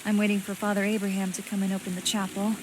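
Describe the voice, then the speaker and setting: A woman's voice: medium pitch, fast talker, lightly acted. An actress playing a remorseful, uneasy woman in video game dialogue, speaking in a polite, earnest, subdued way. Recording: steady rain-like noise behind.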